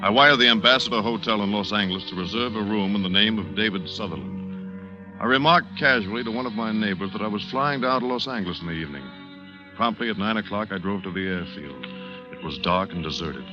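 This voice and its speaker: An actor playing a cold, calculating pilot who narrates a 1940s radio thriller in a clipped, deliberate, dramatic way. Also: male voice, on the low side, natural voice. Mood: tense, brooding. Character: cold, calculating male pilot plotting his own faked death. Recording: muffled old radio recording, loud music behind.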